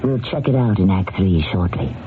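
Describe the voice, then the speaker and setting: A man's voice: sits low, lively intonation, normal speed, heavy background noise. A man hosting and narrating a mystery drama in a theatrical, questioning manner. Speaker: host and narrator. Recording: tinny old radio, music behind.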